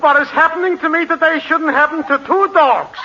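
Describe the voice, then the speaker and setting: An actor playing an agitated, wheedling butcher in a 1940s radio comedy, excitable and pleading. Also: male voice, extremely high pitch, brisk, exaggerated voice. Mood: excited. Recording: muffled 1940s radio recording.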